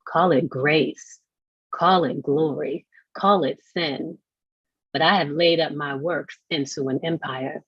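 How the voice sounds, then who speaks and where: A woman's voice: sits low, fairly even intonation, slow, breathy edge, dry recording. A woman giving a dramatic poetry reading on a dull recording. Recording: dull lo-fi dry audio.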